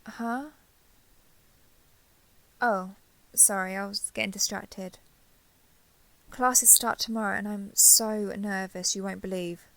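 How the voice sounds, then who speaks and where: Woman acting a gentle, creaky-voiced giant dragon girl. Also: mid-range voice, poor audio. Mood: excited, nervous. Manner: gentle, apologetic, hesitant rambling. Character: giant young dragon girl, caring, nervous, apologetic student. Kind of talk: intimate roleplay audio drama, addressing the listener.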